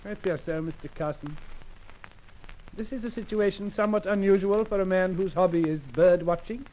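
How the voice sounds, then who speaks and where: A man's voice: sits high, normal speed, natural voice. An actor playing a polite, menacing gunman in a vintage radio thriller, with formal, coolly courteous speech. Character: polite, menacing male villain holding a gun. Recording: muffled old radio recording, faint noise.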